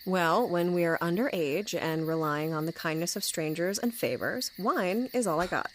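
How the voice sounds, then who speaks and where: A woman's voice: on the low side, lively intonation, moderate pace, slight background noise, lightly acted. A woman playing a serious, guarded young friend with dry humour in an audio drama. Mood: dry, weary. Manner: understated, guarded. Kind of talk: naturalistic audio drama acting.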